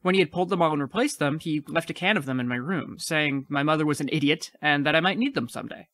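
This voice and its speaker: A man's voice: fairly high pitch, quick pace, fairly bright tone, close to an everyday voice. A man playing a frightened but defiant, clever child in an audio play. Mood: earnest explaining.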